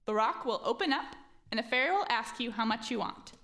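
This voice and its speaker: Woman acting a high, lively, clever maid. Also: a little fast. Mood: urgent coaching. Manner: knowing, instructive, eager. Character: clever, brisk, confident young maid coaching her sweetheart. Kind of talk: radio play acting.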